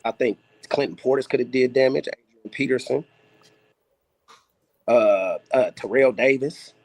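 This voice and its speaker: A man's voice: mid-range voice, measured pace, a little mellow, no echo, rough recording. A man co-hosting a casual sports debate podcast, laid-back but insistent when challenged. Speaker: podcast co-host.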